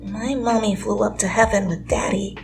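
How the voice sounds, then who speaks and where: A woman's voice: medium pitch, steady tone, moderate pace, gravelly, a little mellow, close to an everyday voice. A woman voicing a small, shy, earnest second-grade boy in a dramatic story reading. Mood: glum, solemn. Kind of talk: dramatic fan fiction reading. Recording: music under the voice.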